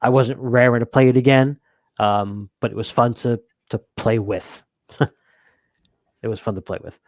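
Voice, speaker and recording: Male co-host, average pitch. Relaxed conversational review talk, recorded dry with no room sound. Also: normal speed, dry recording, lo-fi sound. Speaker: co-host of a board game review show. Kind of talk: casual chat, asking questions and signing off to viewers.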